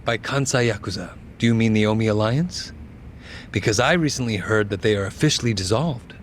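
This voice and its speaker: An actor playing a polished, calculating young governor, speaking in a composed and articulate way in a game's interview scene. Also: male voice, fairly low pitch, fairly quick, a little hiss or hum, lightly acted. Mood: smug, composed. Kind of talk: televised interview in a game cutscene.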